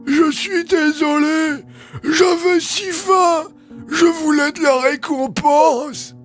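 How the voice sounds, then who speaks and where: A man's voice: extremely high pitch, steady tone, slow pace, soft background music, voice pushed up. A man voicing a deranged, starving prisoner in an audio drama, whining tearfully and drawing out the words. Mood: tearful craving. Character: deranged, starving male drug-crazed prisoner.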